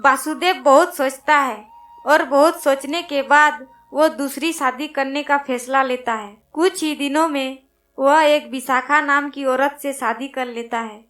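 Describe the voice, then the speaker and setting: Narrator: woman, high voice, scripted children's bedtime moral tale, light music bed. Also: unhurried, a bit bright. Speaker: story narrator.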